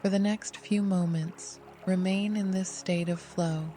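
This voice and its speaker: Meditation guide voice, gentle n unhurried, flat calm pitch, warm dark tone, music and water running behind. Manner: soothing, unhurried, gentle instruction. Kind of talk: guided meditation, scripted narration.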